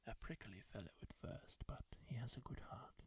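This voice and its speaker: A man whispering as a kindly masked male wanderer who gently reassures a wounded stranger, with musing asides. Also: medium pitch, brisk, natural voice. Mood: soothing. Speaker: ASMR roleplay creator. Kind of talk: whispered ASMR roleplay.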